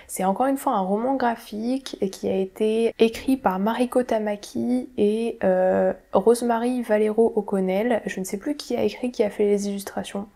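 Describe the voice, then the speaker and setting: A young woman chats casually about books she has read, her voice mellow and relaxed. She talks to the camera at home, hedging and restarting with plenty of fillers. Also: medium pitch, normal speed, vocal fry, few pauses. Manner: chatty, self-deprecating, many fillers and restarts. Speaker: book vlogger. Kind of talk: casual book review talk. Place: home room, indoors.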